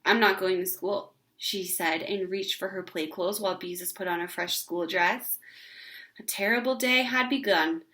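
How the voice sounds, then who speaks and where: A woman narrating a children's story aloud in an expressive read-aloud style. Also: fairly low pitch, normal speed.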